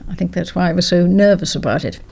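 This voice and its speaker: Creaky older woman, emphatic and well-spoken. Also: on the low side, quick pace, a little mellow. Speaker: former politician. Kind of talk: reminiscing interview.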